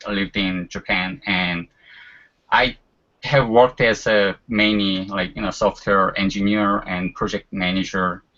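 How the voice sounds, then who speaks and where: A man's voice: on the low side, steady tone, measured pace, pushed and bright. A man in his forties whose first language is Korean, chatting casually as a guest and leaning on fillers like "you know". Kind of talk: casual chat. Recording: dull lo-fi sound.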